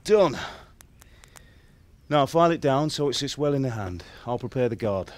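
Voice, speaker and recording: A man playing a stern, principled middle-aged village blacksmith father in a video game, speaking in a plain, measured, fatherly way. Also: medium pitch, expressive, lightly acted. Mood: instructing. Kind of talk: dramatic video game cutscene acting. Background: background music.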